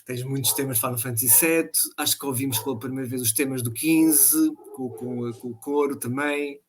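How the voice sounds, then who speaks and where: A man with a European Portuguese accent chatting about video games, enthusiastic and joking, speaking in quick bursts. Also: mid-range voice, fairly even intonation, a little hiss or hum. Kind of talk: casual gaming chat.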